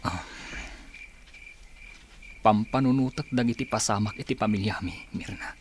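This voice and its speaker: A man's voice: on the low side, moderate pace, a little hiss or hum, natural voice. A man with an Ilocano accent playing a brooding, vengeful rebel in an audio drama. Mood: bitter. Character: brooding, vengeful male rebel grieving his family.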